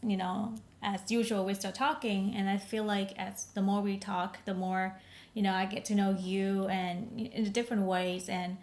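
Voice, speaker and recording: A woman's voice: medium pitch, level tone, normal speed. A woman from Vietnam, co-hosting a podcast with her husband in casual, phrasing. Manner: warm, non-native phrasing, frequent laughs. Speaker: podcast co-host. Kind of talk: casual chat.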